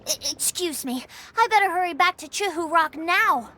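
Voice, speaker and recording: A woman's voice: very high-pitched, animated, normal speed, bright, forceful tone, exaggerated voice. A woman voicing a sweet, eager little child in a video game, with childlike, simple phrasing. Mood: eager, grateful. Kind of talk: scripted video game dialogue.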